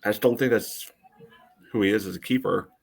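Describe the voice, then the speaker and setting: A man's voice: fairly low pitch, a little fast, vocal fry, breathy edge. An American man co-hosting a soccer podcast, analyzing play casually and thinking aloud with a lot of "you know".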